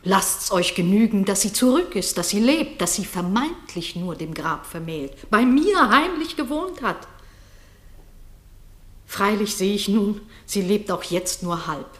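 A woman playing a commanding noblewoman in a classic radio drama, declaiming verse in a solemn, measured way. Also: mid-range voice, sing-song, fairly bright tone, reverberant. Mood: urgent, reassuring. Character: commanding noblewoman bringing a stone queen to life.

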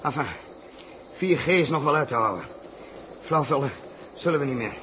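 An actor playing a brisk, commanding spaceship captain in a 1960s science fiction radio drama. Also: male voice, medium pitch, fairly bright tone, natural voice. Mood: concerned. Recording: noisy vintage radio drama recording.

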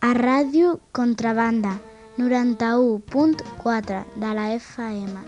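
A woman's voice: a little high, takes it slowly. A woman radio announcer reading a station ident. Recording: dull lo-fi sound under loud music.

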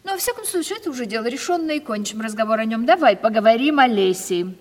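A woman's voice: fairly high pitch, lively intonation, fast. An actress playing a vain, domineering aging provincial lady in a Russian radio play, with a theatrical, imperious manner. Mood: gracious, coaxing. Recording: reverberant 1970s radio play recording.

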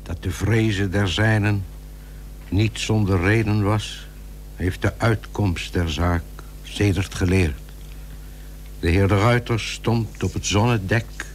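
Male narrator for a vintage radio play, grave and formal, low-pitched and unhurried. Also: fairly even intonation. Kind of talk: scripted old radio drama narration. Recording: old radio recording with faint hum.

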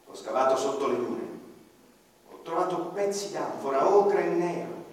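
An actor playing an embittered, idealistic ordinary man in a theatrical radio monologue, declamatory, with dramatic pauses and swings from hushed to loud. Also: a little high, expressive, a little hiss or hum, close to an everyday voice. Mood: subdued, brooding. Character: embittered, idealistic ordinary man, a small-town activist defending his land. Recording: very echoey hall, distant mic, faint music. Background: faint violin music.

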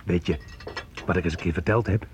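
A man's voice: low voice, a little fast, lightly acted. An actor playing a grown son who talks on familiarly to his mother, teasing and probing, in a Dutch radio play. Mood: slyly teasing. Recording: noisy old radio play recording.

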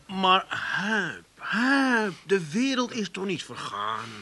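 An actor playing a blunt but soft-hearted man in a comic radio play, brisk and plain-spoken, with an Amsterdam accent. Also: high-pitched, wildly swooping pitch, over music, heavily acted. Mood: soothing, impatient. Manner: brisk, plain-spoken, repeats soothing phrases.

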